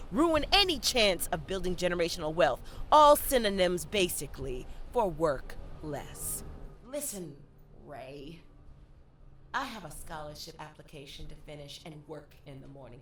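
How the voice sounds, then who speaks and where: Fed-up student, wildly animated. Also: female voice, mid-range voice, a little hiss or hum. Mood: bitter, sarcastic. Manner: sardonic, animated, theatrical storytelling. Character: fed-up working-class Jamaican college student, proud, sharp-tongued young woman. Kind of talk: dramatic audio-play monologue.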